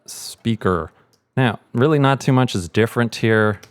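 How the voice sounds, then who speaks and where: A man's voice: medium pitch, a little slow, smooth, dry recording, studio quality. A middle-aged man explaining sound mixing in an informal tutorial, thinking aloud as he goes. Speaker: sound mixer giving a tutorial. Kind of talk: casual tutorial walkthrough.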